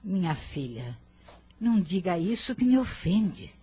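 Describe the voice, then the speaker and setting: A woman playing a kindly, composed elderly grandmother in a radio drama, very husky, with a calm, gentle and dignified manner. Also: fairly low pitch, a little slow, slight background noise. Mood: consoling. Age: older.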